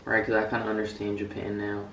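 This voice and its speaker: A man's voice: fairly low pitch, completely flat delivery, quick pace, fairly bright tone, a little hiss or hum. Rambling young man, echoey room. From United States. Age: young adult. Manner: rambling, many fillers and restarts. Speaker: student vlogger. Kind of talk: casual vlog talk. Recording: echoey room, mic a bit far.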